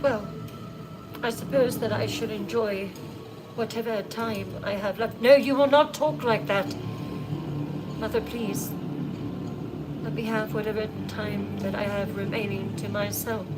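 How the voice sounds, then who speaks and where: A woman's voice: medium pitch, steady tone, measured pace, pauses often, natural voice, rough recording. A woman voicing an anguished teenage princess locked in a tower, crying out in bitter protest. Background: music.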